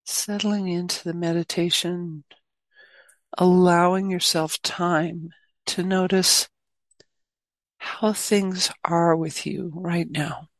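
A woman leading a guided meditation as a teacher, speaking gently in spacious phrases with long pauses. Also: on the low side, fairly even intonation, no echo.

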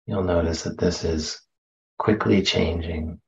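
A man leading a guided meditation as a teacher, speaking gently and unhurriedly with long pauses, on a slightly muffled recording. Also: low-pitched, steady tone, gravelly, a little hiss or hum. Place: meditation center.

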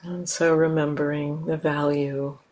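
A woman teacher leading a guided meditation in a gentle, unhurried manner, leaving long pauses between phrases. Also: deep voice, flat delivery.

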